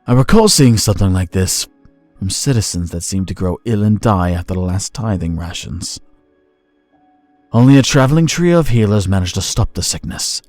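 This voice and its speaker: A man plays a grave, formal investigator in a fantasy audio drama. His voice is deep and closely recorded with little room sound, over faint music. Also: expressive, normal speed, darkish tone, dry recording, lightly acted.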